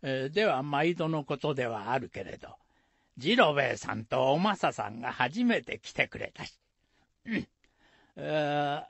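An actor playing an elderly village elder in a radio folktale, husky and gravelly, admonishing in deliberate rustic phrasing. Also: male voice, a little high, fairly bright tone, no echo, full character voice. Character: elderly male village elder, instructive and folksy. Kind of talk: radio drama acting.

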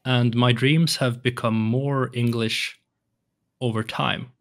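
A man's voice: mid-range voice, fairly even intonation, slow, vocal fry. Young Swedish man, hesitant speech. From Sweden. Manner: hesitant, many restarts and ums. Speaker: podcast guest. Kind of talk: casual interview chat. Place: home room with shelves and colored lights. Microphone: large studio mic on arm, headphones.

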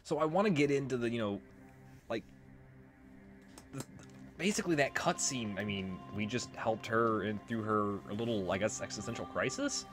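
A man's voice: lowish voice, moderate pace, fairly bright tone. A man giving casual commentary as he plays through a video game. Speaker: Let's Play commentator. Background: game music.

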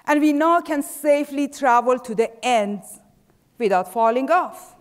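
Middle-aged Persian-accented woman, high voice, slow and measured stage delivery, reverberant hall. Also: lively intonation. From Iran. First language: Farsi. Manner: measured, trailing off softly at phrase ends. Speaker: speaker. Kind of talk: prepared stage talk. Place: large dark theater stage. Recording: theater with long reverb.

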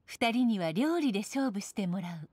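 A woman's voice: mid-range voice, expressive, moderate pace, mellow, husky, dry recording. A woman voicing an elegant, authoritative noblewoman, formal and composed, in a Japanese anime game. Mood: poised, gracious. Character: elegant, poised, authoritative noblewoman serving as judge.

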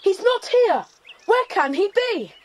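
A well-mannered English schoolchild on a camping adventure in a radio play. The voice is high and very expressive, brisk and polite. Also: female voice, full character voice. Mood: puzzled. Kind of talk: children's audio drama acting.

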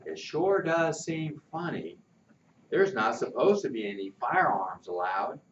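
A man's voice: mid-range voice, big pitch swings, unhurried, far from the mic, highs cut off, slight background noise. Older guy voicing a playful teasing camper kid, drawls it out, roomy room sound. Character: teasing, lively boy camper.

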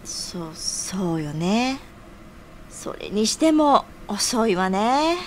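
A woman's voice: medium pitch, big pitch swings, very slow, slight background noise. A woman playing an impatient, bossy but caring friend in an audio drama, pouting and exclaiming. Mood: anxious, impatient.

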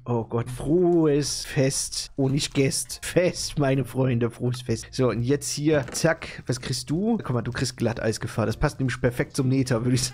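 A man's voice: mid-range voice, darkish tone, husky. A man voicing a cheery old German grandpa persona while playing a video game; he is a bit ill and often trips over his words. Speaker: YouTuber playing Minecraft. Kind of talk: casual let's-play commentary.

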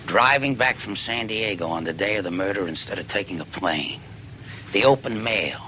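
A man acts out a rumpled, deferential detective who keeps pressing his suspect. His voice is keen-edged and swings widely in pitch as he muses in short, folksy phrases. It is dramatic dialogue with clear background noise. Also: animated, sharp tone. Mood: firm, pressing. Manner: halting, musing, folksy delivery in short phrases. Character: dogged, deferential, rumpled male police detective closing in on a killer.

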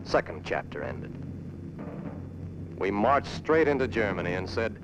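A man with an American accent narrating a 1940s military propaganda film in a stern, clipped, emphatic way with dramatic pauses. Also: fairly low pitch, very animated. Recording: muffled 1940s film soundtrack with music.

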